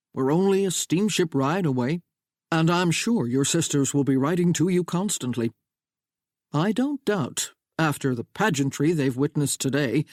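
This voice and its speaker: A man voicing an older American father with a booming drawl, proud and protective of his daughter. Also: a little mellow, dry recording, studio quality, close to an everyday voice.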